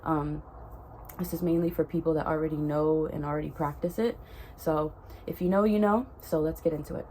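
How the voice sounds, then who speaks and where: A woman's voice: lowish voice, darkish tone, a little hiss or hum, poor audio. A young woman vlogger, congested with a cold, chatting to camera in a rambling way that keeps correcting itself. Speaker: spiritual vlogger. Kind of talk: casual talk to camera.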